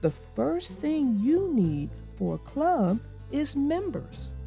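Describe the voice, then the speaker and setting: Woman voicing a slow, sensible mother bear. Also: high-pitched, very animated, creaky, mellow, faint music behind, voice pushed up, full character voice. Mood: correcting. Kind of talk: children's storybook read-aloud.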